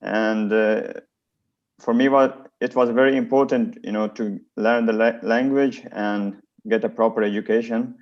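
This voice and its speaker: A man from Afghanistan, an aid organization officer, speaking haltingly with many fillers in an online seminar interview. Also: fairly low pitch, steady tone. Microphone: video call. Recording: dull lo-fi video call audio.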